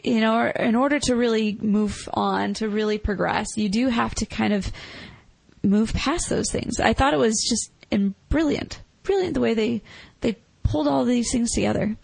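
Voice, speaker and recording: Female co-host, fairly high voice with lots of fry, dry close sound, reflective chat. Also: normal speed, darkish tone, no room sound, poor audio. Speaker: podcast co-host. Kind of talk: casual, reflective film discussion.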